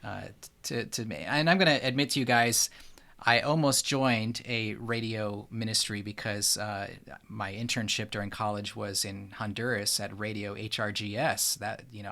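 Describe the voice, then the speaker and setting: A man's voice: lowish voice, fairly even intonation, moderate pace, gravelly, sharp tone. A man speaking as a podcast host in a casual, conversational interview style.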